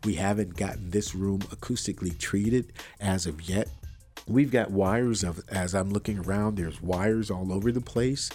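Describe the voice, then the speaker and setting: A man's voice: normal speed, darkish tone, faint music behind. A man hosting a faith-tinged motivational podcast, earnest and preachy, repeating phrases for emphasis, recorded in an untreated room. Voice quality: bassy voice. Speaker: podcast host. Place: untreated room with wires, not acoustically treated.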